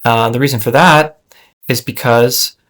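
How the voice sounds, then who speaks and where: A man's voice: mid-range voice, steady tone, unhurried, fairly bright tone. Voice-over: a casual man thinking aloud. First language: English. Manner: thinking aloud with frequent fillers and restarts. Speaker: app developer demoing his language app. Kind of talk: casual screen-share software demo.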